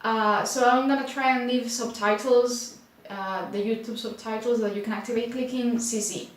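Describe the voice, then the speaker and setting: A young woman from Spain speaking English with a Spanish accent, chatting casually to camera in an unhurried way, full of fillers like "like". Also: a little high, fairly even intonation. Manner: unhurried, many filler likes, slightly unsure. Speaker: booktuber. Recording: very echoey room.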